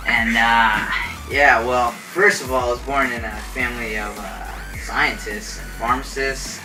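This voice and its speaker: A man's voice: mid-range voice, steady tone, measured pace, close to an everyday voice. A man playing a hesitant physician guest. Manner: hesitant, many fillers. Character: hesitant male physician guest telling his life. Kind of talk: amateur talk-show skit. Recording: distant mic, music behind.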